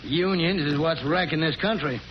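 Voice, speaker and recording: A man's voice: fairly high pitch, normal speed, natural voice. An actor playing a surly, suspicious small-town American garage man in a 1940s radio drama, terse and folksy. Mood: grumbling. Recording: muffled, noisy 1940s radio recording.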